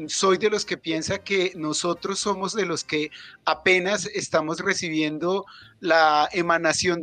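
Man, high voice, middle-aged, discursive and hesitant, literary talk, music behind. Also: a bit bright, no room sound. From Colombia. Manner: discursive, explanatory, many hesitations. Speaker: author, guest at a book presentation.

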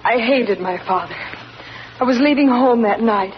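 An actress playing an earnest, remorseful young country woman who speaks softly and stammers. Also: on the high side, normal speed, close to an everyday voice. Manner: hesitant, stammering, soft-spoken. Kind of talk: 1940s radio acting. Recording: dull 1940s radio broadcast, faint hiss.

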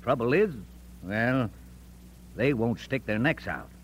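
A man's voice: mid-range voice, animated, slow pace. An actor playing an amiable, eccentric, stubborn frontier newspaperman with a folksy, easygoing manner in a 1940s radio drama. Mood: wry, resolute. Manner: folksy, easygoing, drawling old-West turns of phrase. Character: amiable, eccentric, stubborn crusading newspaperman in 1850s San Francisco. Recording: noisy old radio broadcast recording.

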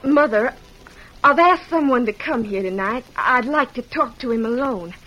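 An actress playing an earnest, loyal young farm daughter in an old-time radio western. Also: female voice, a little high, animated, moderate pace, sharp tone. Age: young adult. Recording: dull vintage radio recording.

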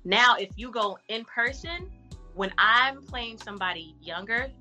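Woman guest, bright tense tone, candid and slangy, relaxed interview chat. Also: a little slow, soft background music. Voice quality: deep voice for a woman. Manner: frank, animated advice-giving with casual slang. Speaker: actress and artist guest. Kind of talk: casual podcast interview.

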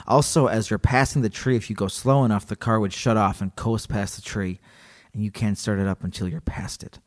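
A man co-hosting a podcast, chatting casually and reading a story aloud, animated and joking. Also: lowish voice, a little fast. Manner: animated, joking, laughs often. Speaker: podcast co-host.

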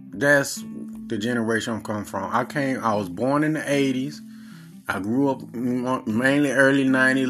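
A man's voice: mid-range voice, fairly bright tone. A man in his forties with a Southern accent, a podcast host talking in a blunt, profane, earnest monologue. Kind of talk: casual monologue.

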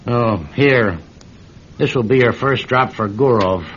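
A man's voice: mid-range voice, a little slow, a bit bright, a little hiss or hum, close to an everyday voice. A man playing a blunt, cynical intelligence boss in a radio drama, speaking in a brusque, assured, colloquial way. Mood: matter-of-fact. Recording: dull old-style radio recording.

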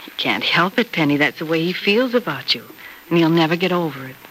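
A kindly middle-aged mother in a vintage radio serial, soothing and confiding. Her voice is bright, with faint noise on the old recording. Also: sits low, animated, a little fast, poor audio. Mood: sympathetic. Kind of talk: 1940s radio soap opera acting.

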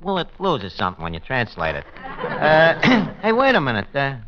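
A man's voice: mid-range voice, huge pitch swings, normal speed, crowd noise. An actor playing an unrefined, wisecracking man who talks colloquially and hesitantly in 1940s radio comedy. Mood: bluffing. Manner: hesitant, colloquial, malapropism-prone. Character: Archie, wisecracking, unrefined tavern man who mangles words. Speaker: comic host of a radio show. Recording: noisy, muffled vintage radio recording.

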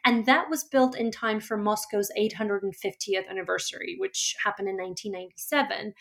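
A woman from Georgia, a first-language Georgian speaker, tells her life story as an author being interviewed, reflective and often pausing on fillers and restarts. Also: medium pitch, steady tone, vocal fry, fairly bright tone. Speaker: author and guest.

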